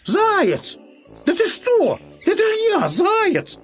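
A man voicing an excitable, simple, cheerful young hare in a storybook tale, exclaiming in bewildered, colloquial bursts. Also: very high voice, measured pace, highs cut off, soft background music, pitched above the performer's own voice, exaggerated voice. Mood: frantic, bewildered.

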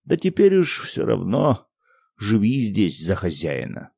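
A man voicing a bitter returning soldier who shouts at his wife and son. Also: lowish voice, huge pitch swings, measured pace, creaky, slightly rough, dry recording, studio quality, full character voice. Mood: resigned. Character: bitter returning soldier father, harsh and jealous.

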